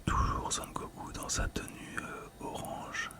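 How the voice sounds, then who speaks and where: Guy doing asmr, breathy whisper the whole time, slow gentle delivery, bit hesitant. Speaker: ASMR video creator. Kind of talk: whispered ASMR card description.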